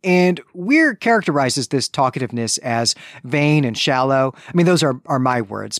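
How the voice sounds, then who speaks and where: A man with a mid-pitched voice works through a literary recap as a podcast co-host. He explains point by point in an analytical way, checking in as he goes. The sound is dry, with very little room in it. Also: dry recording. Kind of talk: analytical book recap discussion.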